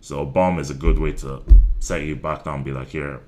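A man's voice: rumbling low, steady tone, normal speed, a little mellow, faint music behind. A man in his twenties, a stand-up comedian from Nigeria and Ireland, chatting casually in an interview with many ums and restarts. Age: 20s.

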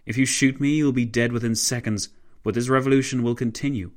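A man's voice: mid-range voice, fairly even intonation, fast, voice pushed up, lightly acted. A man voicing a proud, coldly ambitious French marshal, declamatory and menacing, in a dramatised historical story. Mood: cold, commanding. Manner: declamatory, imperious.

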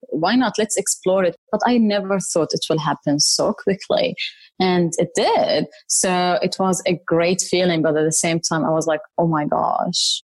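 Woman from Syria, thirties, lowish voice, eager guest on a dry close mic. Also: darkish tone, dry recording. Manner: enthusiastic, repeats words for emphasis. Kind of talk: interview conversation.

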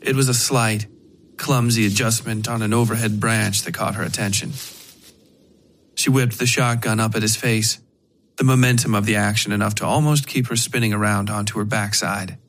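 A man reading dark fiction as a scripted narration, in a measured way with dramatic pauses. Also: lowish voice, steady tone, slight background noise. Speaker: narrator of a horror fiction podcast.

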